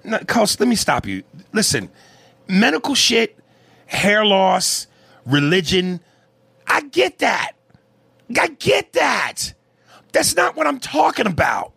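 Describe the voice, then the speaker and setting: A man's voice: high voice, wildly swooping pitch, takes it slowly, vocal fry, long pauses, slightly rough, dry recording. A man with a Canadian accent, co-hosting a casual talk show, reading listener comments and answering with blunt, profane rants. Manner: blunt and profane, rising into loud emphatic rants. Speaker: podcast co-host.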